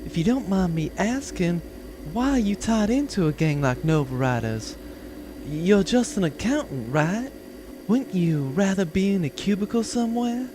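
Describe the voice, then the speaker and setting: Comedy audio drama sidekick played by a woman: kindly, spirited, down-home phrasing, animated delivery, noise behind. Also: medium pitch, moderate pace, close to an everyday voice. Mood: gentle, sympathetic. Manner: folksy, uses ain't and y'all. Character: soft-hearted, feisty female bounty hunter's sidekick.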